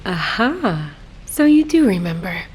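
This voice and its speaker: A woman's voice: medium pitch, very animated, measured pace, darkish tone, husky, poor audio. A woman playing a sly, worldly boss and tough-love mentor in a video game, teasing and commanding. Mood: firm, teasing.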